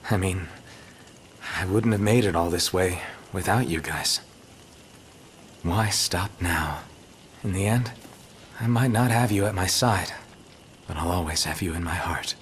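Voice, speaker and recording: An actor playing a resolute young prince in a fantasy game, plainspoken and earnest. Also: male voice, low voice, a little slow, pauses often. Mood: wistful, grateful. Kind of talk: dramatic video game voice acting. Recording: faint background ambience noise.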